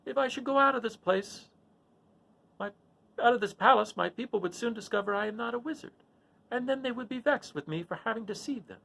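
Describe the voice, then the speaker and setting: A man's voice: very high voice, gravelly, a bit bright, a little hiss or hum, pitched above the performer's own voice, heavily acted, low-quality recording. A man voicing a chatty little humbug wizard with a showman's grand, explanatory manner in a storybook reading. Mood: earnest, eager. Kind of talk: storybook read-aloud character voice.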